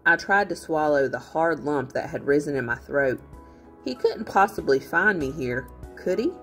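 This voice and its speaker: A woman reading a storybook aloud in an animated, expressive narrating style. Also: low voice, normal speed, faint music behind. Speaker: teacher reading a book aloud.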